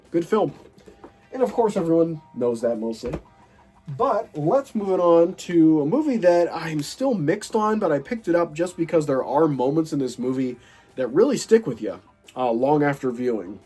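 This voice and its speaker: A man's voice: fairly high pitch, darkish tone. Stuffy-nosed man chatting about movies. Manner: rambling, enthusiastic, many fillers and restarts. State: stuffy, nasal from a cold. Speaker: movie collector host. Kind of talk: casual chat showing a film haul.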